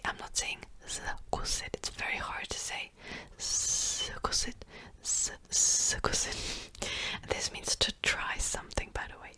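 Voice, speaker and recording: A woman whispering softly and close to the mic as she gives a gentle language lesson, slowly repeating words. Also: poor audio. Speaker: language teacher.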